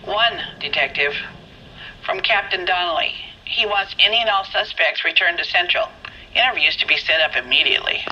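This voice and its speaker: An actress playing a brisk, formal 1940s police radio operator in a video game crime drama, through a radio filter. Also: female voice, lowish voice. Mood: businesslike. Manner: formal, businesslike. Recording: dull, raspy radio line.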